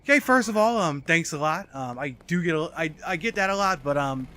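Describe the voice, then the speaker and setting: A man's voice: on the high side, animated, a little fast, bright, forceful tone. A man in his forties doing casual live gameplay commentary while chatting with viewers, swearing freely. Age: 40s. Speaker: video game streamer.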